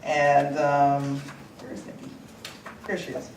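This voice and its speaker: A woman's voice: low voice, completely flat delivery, slow, darkish tone. A middle-aged American woman, an author on a panel, chatting casually and joking as she talks about her book. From United States. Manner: chatty, joking, laughs at her own lines. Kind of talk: casual conference talk. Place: large conference hall. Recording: echoey hall, distant, noisy audience.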